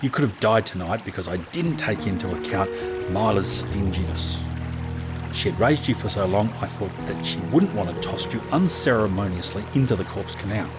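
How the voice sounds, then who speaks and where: A man's voice: on the low side, lively intonation, moderate pace, over loud music, natural voice. A man acting a protective, seasoned gang leader with an earnest, reassuring manner in a fantasy audio drama. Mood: concerned, apologetic.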